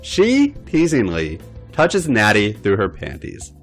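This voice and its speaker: A man narrating a story aloud as a podcast host, with an expressive reading style. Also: lowish voice, takes it slowly, soft background music.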